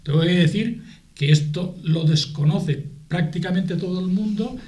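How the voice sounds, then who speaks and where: Middle aged guy from spain explaining stuff on a tv debate, soft mellow tone, kinda halting, echoey room. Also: high voice. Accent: Spanish from Spain. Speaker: guest, aid association spokesman.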